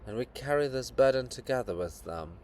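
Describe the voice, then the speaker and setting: A man's voice: medium pitch, expressive, fairly quick, a little mellow, slight background noise, natural voice. A man voicing the monument of a proud, anguished princess in a drama, with an impassioned, mournful, declamatory delivery. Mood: anguished, solemn.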